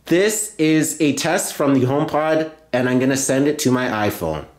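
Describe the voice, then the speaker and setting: A man's voice: mid-range voice, animated, normal speed. A man hosting a tech video, narrating a hands-on tutorial step by step and often repeating himself. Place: indoor room at a table. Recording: roomy, echoey indoor sound.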